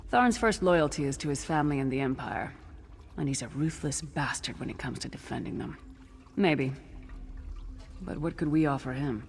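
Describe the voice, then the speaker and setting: A woman's voice: low voice, darkish tone, slight background noise, lightly acted. A woman playing an earnest, blunt, battle-worn rebel companion in a fantasy game, serious and confiding as she speaks. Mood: bitter, blunt. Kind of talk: scripted fantasy game dialogue.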